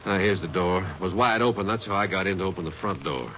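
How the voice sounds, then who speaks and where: An actor playing a plain-spoken, weary Texas county sheriff with a folksy, laconic manner in a 1950s radio drama. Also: male voice, sits low, quick pace, a bit bright. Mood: somber. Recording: noisy, muffled 1950s radio recording.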